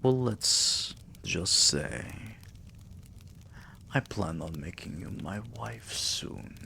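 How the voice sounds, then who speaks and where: A man acts out a vampire king doting on a lover in intimate ASMR. The voice is very low and breathy, sinking into gravelly murmurs. Each phrase comes very slowly, tender and possessive, over a faint hiss. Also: lively intonation, sharp tone, exaggerated voice. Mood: teasing, devoted. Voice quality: breathy, half-whispered, gravelly. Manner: slow, soothing, affectionate murmuring.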